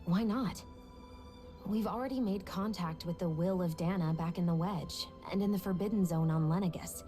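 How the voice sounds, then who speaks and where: A mellow-voiced young woman played by an actress in a game cutscene. She is an analytical, inquisitive adventurer who speaks articulately, with soft music behind her. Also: lowish voice, moderate pace, lightly acted. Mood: inquisitive, reasoning. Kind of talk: video game cutscene acting.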